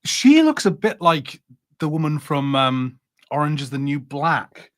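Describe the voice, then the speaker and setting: Man, podcast co-host, slightly high pitch, casual review chat, musing, a bit hesitant. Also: very animated, measured pace.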